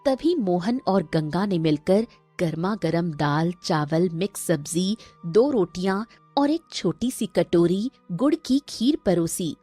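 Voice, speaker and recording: A woman's voice: lowish voice, expressive, takes it slowly, dark tone, breathy edge, no room sound, soft background music. A woman narrating a scripted cartoon story.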